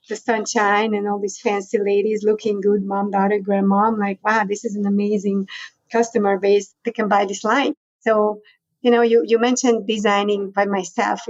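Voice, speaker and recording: A woman's voice: mid-range voice, dry recording. Middle-aged Hungarian-accented woman, chatty storyteller, dry and slightly dull line. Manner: storytelling, chatty, frequent "you know" and laughs. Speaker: guest. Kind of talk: conversational interview.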